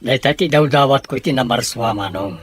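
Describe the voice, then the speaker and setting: A man's voice: medium pitch, a little fast, husky, lightly acted. A man playing a humble, polite younger brother in a radio drama who speaks deferentially to his older sibling. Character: humble younger brother Romeo, a proud father.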